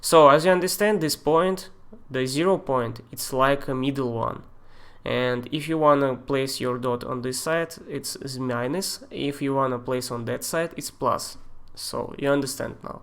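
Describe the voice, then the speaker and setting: A man with a Russian accent and Russian as his first language, teaching a live-streamed screen tutorial in a halting way, with fillers and non-native grammar. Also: medium pitch, a bit bright. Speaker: software tutor.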